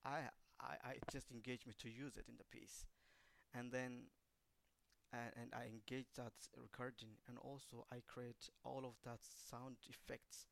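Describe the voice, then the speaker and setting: A man recounts his dance project in a halting, searching way, with lots of fillers along the way. His voice has a gravelly, creaky edge. It sits at a middling pitch for a man. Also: flat delivery, a bit bright, slight background noise. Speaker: choreographer and dancer being interviewed. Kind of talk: casual interview, recounting a creative project.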